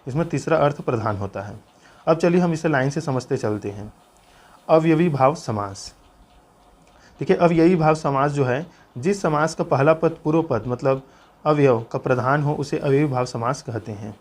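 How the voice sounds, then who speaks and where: A man's voice: medium pitch, moderate pace, a little mellow. Male exam tutor. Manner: repeatedly urges listeners to remember, instructive. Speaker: teacher. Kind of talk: exam-preparation lesson, explaining notes aloud.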